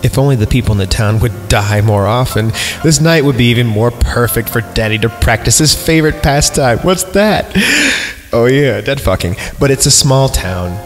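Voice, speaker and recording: A man's voice: medium pitch, animated, normal speed. A man hosting a podcast, reading a horror story aloud for comedy and dropping in irreverent, casual asides. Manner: irreverent, mock-disgusted, often breaks off to comment. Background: background music.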